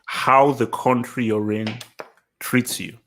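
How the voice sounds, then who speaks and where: A man from Nigeria and England hosting a casual live-stream discussion, phrasing things deliberately and repeating them for emphasis. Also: mid-range voice, steady tone, fairly bright tone, a little hiss or hum. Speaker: podcast host.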